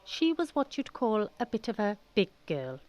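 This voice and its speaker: A woman's voice: medium pitch, sing-song, normal speed, a little mellow, dull audio. A woman with a Welsh accent giving scripted comic narration for a radio drama, colloquial and wry. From Pembrokeshire, Wales. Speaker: storyteller.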